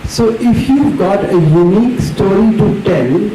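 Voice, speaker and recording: An older author from India speaks to a festival audience in an earnest, encouraging way. His voice sits high with a mellow tone. The sound is roomy and heard from some distance, with faint noise behind. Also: animated, measured pace. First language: English spoken at home, family Punjabi. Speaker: author, panel guest. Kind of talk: festival panel talk. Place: festival hall. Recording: echoey hall from far off.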